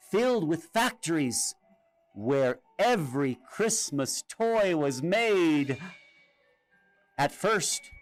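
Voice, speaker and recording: A man narrates a children's storybook with expressive drama. His fairly high voice swings widely in pitch and takes its time, with music playing behind it. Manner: expressive, dramatic read-aloud delivery. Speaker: storybook reader. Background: music and sound effects.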